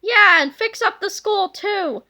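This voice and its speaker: Playful schoolboy sidekick, keen, agreeable, bright and very high, storybook, played by a woman. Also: lively intonation, a little slow, exaggerated voice. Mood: cheeky. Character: eager, playful schoolboy sidekick who draws.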